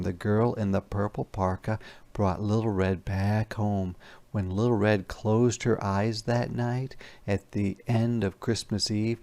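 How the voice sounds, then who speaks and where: A man teacher reading a children's storybook aloud, expressive and pausing to explain words to young listeners. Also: fairly low pitch, gravelly.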